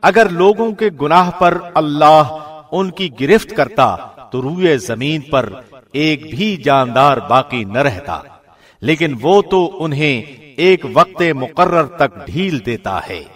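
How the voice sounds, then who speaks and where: A man giving a formal, measured and solemn scripted reading of a scripture translation, as a radio presenter would. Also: fairly high pitch, expressive, fairly bright tone.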